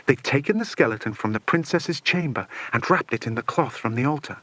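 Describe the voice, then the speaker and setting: A man reads a children's adventure audiobook in a dramatic narrating style. His mid-pitched voice is very animated in pitch, and the recording is dry and close. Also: a little fast, dry recording.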